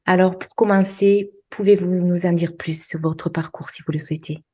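Deep, soft-toned woman podcast host. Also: steady tone, moderate pace, husky. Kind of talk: scripted podcast introduction and interview.

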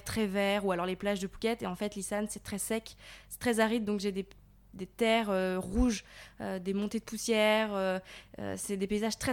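Young woman interviewee, mid-pitched voice, careful explanations with fillers. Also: clean tone. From Paris region, France. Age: 20s. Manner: thoughtful, explanatory, frequent fillers. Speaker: guest, volunteer. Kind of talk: interview answers.

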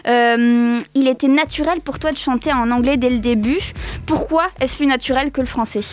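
A woman hosting a radio interview in a studio, asking questions in a lively manner. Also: sits high, moderate pace. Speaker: radio host.

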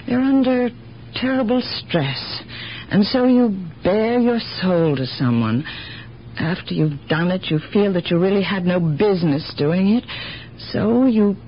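A woman playing a kindly, discreet older aunt in a radio drama, with a genteel, halting, hedging manner. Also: mid-range voice, animated, slight background noise, natural voice. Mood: reluctant. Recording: dull, humming 1970s radio broadcast.

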